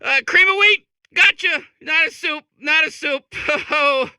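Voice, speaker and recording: A man's voice: very high voice, measured pace, bright, forceful tone, dry recording, studio quality, heavily acted. A man voicing a zany, desperate comedian robot in a video game, stammering through showbiz patter and giggling at its own jokes. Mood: manic, self-amused. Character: manic, desperate, hacky comedian robot cracking itself up. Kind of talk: improvised-sounding comic video game acting.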